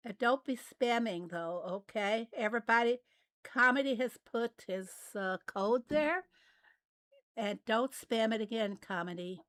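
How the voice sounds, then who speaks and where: A woman with a raspy voice, chatting casually with viewers while she plays a farming game. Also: medium pitch, fairly bright tone, no echo. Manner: unhurried, chatty, reads out and answers viewer messages. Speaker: game livestreamer. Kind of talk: casual livestream chat while playing.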